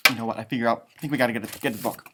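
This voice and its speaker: A man reading a picture book aloud to young children, expressive, with chatty asides where he wonders aloud. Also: medium pitch, rapid-fire, very noisy. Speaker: elementary music teacher reading a storybook aloud.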